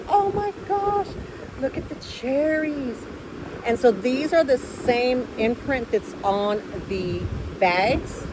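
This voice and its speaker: A woman with an excitable, gushing way of talking, chatting casually as she hosts an unboxing vlog. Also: noisy background. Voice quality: high voice when excited. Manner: gushing, effusive, easily excited. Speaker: vlogger, host of an unboxing channel.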